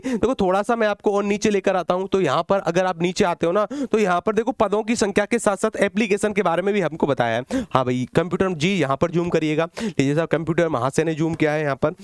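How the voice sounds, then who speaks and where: A man teaches exam candidates in a distinctly high-pitched voice. He addresses students directly and conversationally, driving points home through questions and repetition. The sound is dry, with very little room echo. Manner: asks questions and repeats the answers for emphasis. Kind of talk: exam-update lecture to students.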